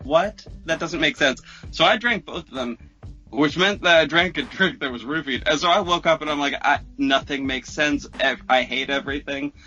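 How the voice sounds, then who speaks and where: A man telling a personal story as a podcast guest in a casual, animated, profane, slangy way. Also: a little high, moderate pace, lo-fi sound, faint music behind.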